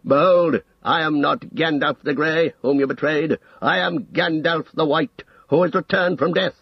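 A man plays a grave old male wizard from a fantasy storybook, stern and full of authority. The voice is husky and weathered, at a medium pitch. It is recorded dry and a little dull. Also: normal speed, no echo. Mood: stern, commanding.

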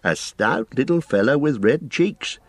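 Flustered old innkeeper, as a male reader puts him on; high, lively, rambling, dry sound. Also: husky, no echo. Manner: rambling, flustered, drawn-out phrasing. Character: fussy, forgetful, anxious old male innkeeper.

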